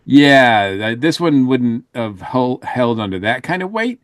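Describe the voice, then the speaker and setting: A man on a podcast panel giving his opinions in a casual, halting, hedging way. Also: medium pitch, very animated, no echo, clean studio sound. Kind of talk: casual review chat.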